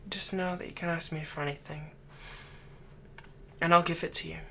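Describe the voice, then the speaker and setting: A man playing a possessive young fantasy villain in an ASMR roleplay, tenderly soothing a captive in a soft voice that often turns breathy. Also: a little high, little pitch movement, moderate pace, slight background noise. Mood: intimate, coaxing. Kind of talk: ASMR roleplay, intimate scripted monologue.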